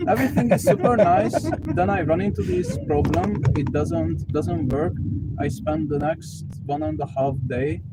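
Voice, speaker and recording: A man's voice: a little high, lively intonation, normal speed, distant mic. Rambling young guy in a noisy car. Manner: loose and rambling, filler-heavy with lots of "like". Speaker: passenger, software developer. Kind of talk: casual chat. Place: inside a moving car. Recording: loud car noise behind the voice.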